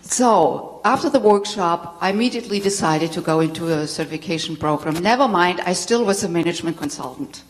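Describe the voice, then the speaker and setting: Low-voiced German woman in a hall. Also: animated, brisk, few pauses, a little hiss or hum. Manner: candid, storytelling, laughs often. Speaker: tantra teacher and speaker. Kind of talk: personal talk to a live audience. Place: spiritual center hall. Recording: long hall reverb.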